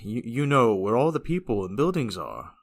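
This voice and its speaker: A man's voice: mid-range voice, very animated, moderate pace. A man voices a blunt young-hearted fantasy hero for an audio drama. He speaks formally and very literally, with earnest weight and widely moving intonation. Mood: sombre, earnest. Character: blunt, earnest male fantasy hero, socially naive, grieving.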